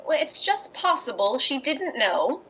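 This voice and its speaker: A woman performs a sensible, wry wife in a radio play. Her voice is fairly high and measured, with dry irony. The recording carries faint noise and rumble. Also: sing-song, a bit bright, heavily acted, rough recording. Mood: wry, frank. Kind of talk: radio drama acting and narration.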